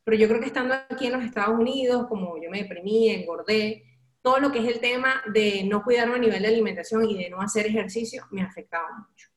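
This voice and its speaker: A Venezuelan woman telling personal stories candidly as an interview guest, in an expressive, colloquial and confiding way. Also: fairly high pitch, fast. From Valencia, Venezuela. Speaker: interview guest, radio and event host. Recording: roomy, echoing sound.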